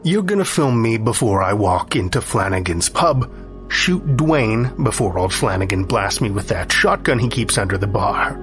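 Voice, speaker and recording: A man's voice: fairly low pitch, lively intonation, normal speed, over music, close to an everyday voice. A man playing a driven, scheming man in an audio story, explaining himself insistently. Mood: determined, excited. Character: scheming, driven man plotting his own resurrection.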